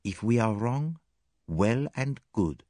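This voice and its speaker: A man voicing a calm, shrewd male detective in a storybook reading, formal, measured and gently insistent. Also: mid-range voice, no room sound, clean studio sound, voice pushed up. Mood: quietly confident. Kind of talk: audiobook character dialogue.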